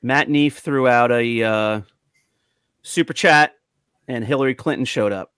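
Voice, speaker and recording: A man's voice: mid-range voice, measured pace, a bit bright, smooth, no echo, clean studio sound. A man hosting a casual group chat on a video call, with an upbeat announcer-like delivery. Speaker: podcast host. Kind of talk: lively casual group chat about toys. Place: home room on a video call.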